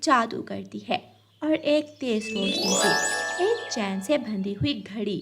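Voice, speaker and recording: A woman's voice: medium pitch, lively intonation, takes it slowly, over loud music. A woman reading scripted narration for a children's story as its narrator.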